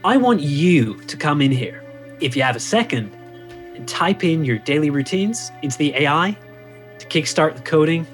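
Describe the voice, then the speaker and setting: Man acting a pushy, excitable CEO. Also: normal speed, soft background music, natural voice. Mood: eager, insistent. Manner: enthusiastic, insistent sales-pitch talk. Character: pushy, excitable male CEO obsessed with leads. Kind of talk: comedic audio drama acting.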